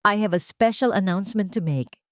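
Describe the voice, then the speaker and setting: A woman's voice: medium pitch, normal speed, no echo, studio quality, natural voice. A woman with a Filipino accent voicing a proud, callous billionaire husband in an audio story, formal and self-satisfied.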